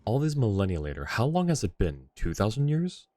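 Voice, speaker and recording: A man streaming a game live, chatting in relaxed reactions and greeting viewers. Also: on the low side, brisk. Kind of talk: casual live-stream commentary. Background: game music.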